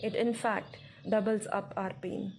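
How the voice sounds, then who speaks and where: A woman narrating a scripted guided meditation in a measured, instructive way. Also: medium pitch, little pitch movement, a little mellow. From India. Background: background music.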